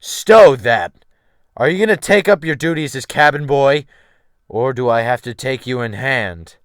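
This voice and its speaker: A man's voice: medium pitch, measured pace, no echo, clean studio sound, natural voice. A man performing a cruel, domineering sea captain who barks orders and mocks, in an audiobook drama. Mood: threatening. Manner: barking orders, domineering, mocking. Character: cruel, commanding sea captain, cold and brutal.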